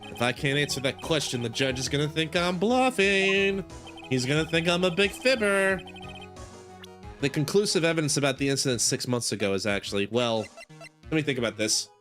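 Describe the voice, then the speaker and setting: A man's voice: on the high side, expressive, moderate pace, fairly bright tone, soft background music. A man casually commenting while playing a video game, joking and mocking himself. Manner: joking, self-mocking asides. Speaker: game streamer. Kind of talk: casual let's-play commentary.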